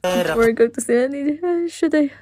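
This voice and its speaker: A woman's voice: high voice, sing-song, brisk, mellow. A young woman from the Philippines with a Filipino accent, live-streaming from her phone indoors, gushing and giggling as she thanks viewers. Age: young adult. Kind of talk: casual live-stream chat reading comments. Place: indoor room. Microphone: phone held close at arm's length.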